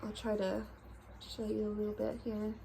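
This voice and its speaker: A woman's voice: mid-range voice, monotone, normal speed, vocal fry, warm tone, a little hiss or hum, low-quality recording. A young woman with a stuffed-up nose from allergies, chatting casually to camera as she shows her cross-stitch designs, with lots of ums and small laughs. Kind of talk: casual show-and-tell chat to camera.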